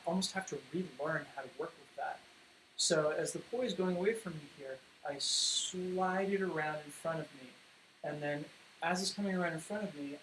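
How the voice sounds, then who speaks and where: A man with a slightly high voice that is wearing thin and hoarse hosts a livestream Q&A, explaining poi tricks in a loose, chatty way with lots of "um"s and restarts. He sometimes drifts away from the mic as he demonstrates. A faint hiss sits under the home recording. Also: a bit bright, low-quality recording. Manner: chatty, rambling explanations with many fillers and restarts. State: losing his voice. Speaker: poi spinning teacher hosting a livestream Q&A. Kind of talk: casual livestream Q&A and teaching.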